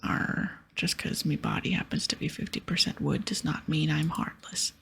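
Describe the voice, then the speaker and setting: A woman voicing a boastful, blustering half-wooden male pirate lookout in a breathy ASMR whisper. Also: deep voice, moderate pace, pitched below the performer's own voice, full character voice. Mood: indignant. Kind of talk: whispered ASMR game dialogue.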